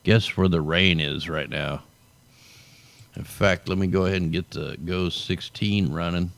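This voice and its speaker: A man's voice: deep voice, steady tone, unhurried, vocal fry, fairly bright tone. A man hosting a casual weather commentary, tired and losing his voice, talking loosely and tacking "man" onto his sentences. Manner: loose, conversational, drawls "man" at line ends. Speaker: show host. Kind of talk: casual weather commentary over satellite maps.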